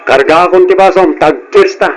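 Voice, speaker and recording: A man's voice: sits high, moderate pace, natural voice. A man acting a brash, evasive young womanizer in an old-style radio drama. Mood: boastful, casual.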